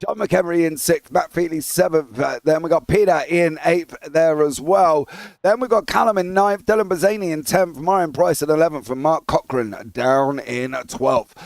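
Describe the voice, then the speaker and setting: A hoarse English man calls an online race with a gravelly, raspy edge to his voice. He sits a bit above the usual pitch for a man and gets loud and excitable when the lights go out. The sound is dry and close. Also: moderate pace, dry recording. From England. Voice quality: raspy, husky voice. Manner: excitable, rising to shouts at race start. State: hoarse from broadcasting. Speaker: race commentator. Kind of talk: sim racing commentary.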